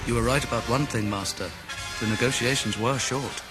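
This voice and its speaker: A man playing an earnest, respectful young Jedi apprentice with a crisp, deferential delivery in an audio storybook. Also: medium pitch, brisk, over loud music, lightly acted. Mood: wry. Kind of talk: audio storybook film dialogue.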